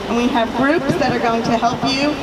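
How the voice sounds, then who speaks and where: Upbeat woman hosting a charity event, high voice, far off and echoey. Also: steady tone, normal speed, over a noisy crowd. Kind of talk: emcee remarks at an outdoor event. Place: outdoor parking lot.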